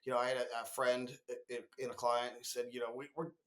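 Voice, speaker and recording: A man's voice: medium pitch, monotone, quick pace, fairly bright tone. A man co-hosting a conversational business podcast, explaining emphatically and acting out imagined quotes as he goes. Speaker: podcast co-host discussing marketing.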